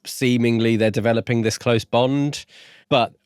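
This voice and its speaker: A man's voice: medium pitch, steady tone, moderate pace, a little mellow, clean tone, dry recording, studio quality. A man co-hosting a podcast, talking through his thoughts on a book in a casual, reflective and slightly hesitant way.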